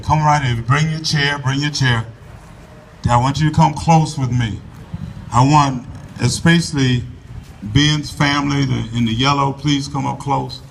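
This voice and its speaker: A man giving an earnest, folksy address to a crowd at an outdoor rally in a park, speaking loudly into a microphone and urging people to come closer. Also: medium pitch, creaky. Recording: voice from far off the mic, faint background noise.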